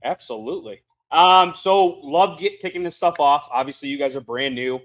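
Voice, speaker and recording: A man's voice: a little high, normal speed, fairly bright tone. Laid-back male podcast host. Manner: laid-back, filler-heavy, says "kinda" often. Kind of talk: casual podcast interview.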